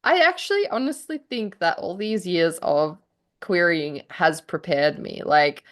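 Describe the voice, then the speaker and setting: A woman's voice: on the low side, expressive, unhurried, a bit bright. A woman co-hosting a casual podcast chat, often laughing as she talks. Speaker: podcast co-host and writer.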